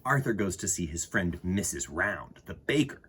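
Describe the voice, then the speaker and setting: A man's voice: low-pitched, very animated, moderate pace, noisy background. A man hosting children's story time, reading and chatting expressively and enthusiastically, speaking straight to his young audience. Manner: enthusiastic, addresses listeners directly.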